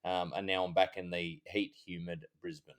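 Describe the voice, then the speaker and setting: A middle-aged Australian man, a former cop co-hosting a podcast, chatting in a rambling, halting, easygoing way. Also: low voice, little pitch movement, a bit bright, clear, resonant tone. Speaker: podcast co-host, former police officer. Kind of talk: casual chat.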